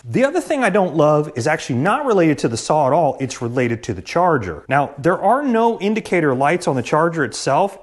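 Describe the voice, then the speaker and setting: A man in his thirties giving a practical, matter-of-fact tool review to the camera in his garage. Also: fairly high pitch. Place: garage, indoors.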